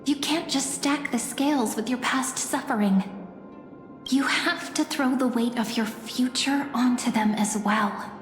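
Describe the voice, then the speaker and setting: A woman playing a gentle, knowing young female companion in a video game, teasing and wistful. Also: sits high, a little slow. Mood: earnest. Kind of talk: English video game voice acting. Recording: echoey hall reverb, faint music behind.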